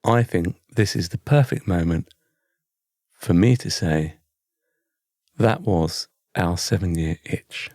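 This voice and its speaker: A man's voice: low-pitched, takes it slowly, pauses often, darkish tone, dry recording, studio quality. A British man co-hosting a podcast, chatting casually in a wry, self-mocking way. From Britain. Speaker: podcast co-host. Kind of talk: casual chat.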